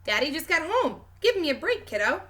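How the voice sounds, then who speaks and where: Storybook father, read by a woman. Bright delivery, easygoing, affectionate, playful teasing. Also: sits high, sing-song, a little fast, pitched above the performer's own voice, heavily acted. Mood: playful, fond.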